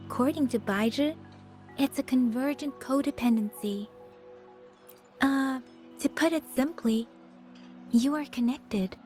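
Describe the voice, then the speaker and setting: An actress playing a calm young woman in a game who explains things in a measured, unhurried way. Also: high voice. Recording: game dialogue with soft music.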